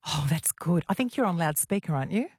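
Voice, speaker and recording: A woman's voice: fairly low pitch, animated, fast, husky, no echo, clean studio sound. A woman hosting a late-night radio talk show, chatty and teasing with callers. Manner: chatty, teasing, warm interviewer. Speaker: radio host.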